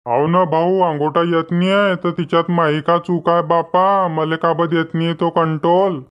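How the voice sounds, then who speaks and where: A helpless old man of the village, acted by a man in a cartoon comedy. His rather high voice is plaintive and resigned, with a rural Maharashtrian accent, on a dull recording. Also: level tone, moderate pace, clear, resonant tone, close to an everyday voice. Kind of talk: comic cartoon dialogue. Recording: dull, lo-fi sound.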